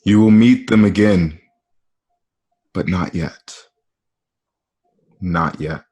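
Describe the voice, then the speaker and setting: Man acting as brooding Roman man, slow delivery, lowish voice, weighted short phrases, radio drama. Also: warm tone. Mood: wistful. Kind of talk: dramatic script reading.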